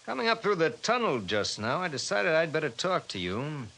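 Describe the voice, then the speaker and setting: A forceful, domineering 1950s radio husband. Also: male voice, a little high, very animated, moderate pace, gravelly, heavily acted, low-quality recording. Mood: stern, condescending. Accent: American. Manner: stern, impatient, lecturing. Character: domineering, status-obsessed husband on a post-war committee. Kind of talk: 1950s radio drama acting.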